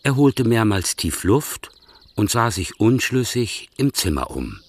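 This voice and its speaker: A man narrating a radio drama in calm, even scripted storytelling. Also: fairly low pitch, a little slow, breathy edge.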